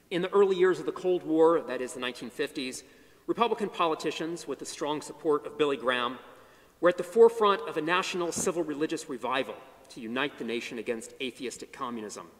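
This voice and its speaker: A middle-aged man lectures from a podium in a large chapel, his fairly high voice carrying long reverb through the hall. He speaks in measured phrases, stressing each opening and letting it drop low at the end. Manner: measured, emphatic phrase starts falling to low endings. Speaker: history lecturer. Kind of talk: academic lecture. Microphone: podium mic.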